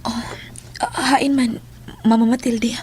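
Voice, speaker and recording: A woman's voice: fairly high pitch, fairly even intonation, takes it slowly, darkish tone, a little hiss or hum. An actress playing a timid, obedient young woman in a horror audio drama, hesitant in her speech. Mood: uneasy, puzzled. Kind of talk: horror radio drama acting.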